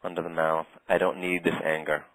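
Very deep gravelly man guiding tapping. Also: no echo, poor audio. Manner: calm, slow, leads phrases for repetition. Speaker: tapping practitioner. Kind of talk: guided tapping session.

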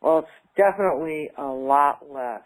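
A woman's voice: sits low, normal speed. A woman taking part in a tapping session, echoing phrases back and speaking hesitantly with many fillers when she talks freely. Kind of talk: repeating affirmations, then casual talk.

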